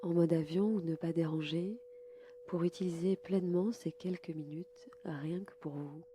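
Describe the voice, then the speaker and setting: A woman guiding a relaxation session slowly and calmly, in a soft, breathy voice that often nears a whisper. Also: on the low side, slight background noise. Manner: calm, soothing, unhurried. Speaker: podcast host and yoga nidra guide. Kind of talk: slow, soft guided relaxation.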